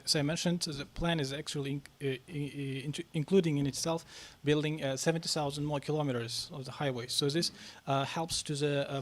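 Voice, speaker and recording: A man answering questions on a press club panel, talking haltingly with many fillers and restarts. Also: a little high, a little hiss or hum. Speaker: panel speaker on national reforms.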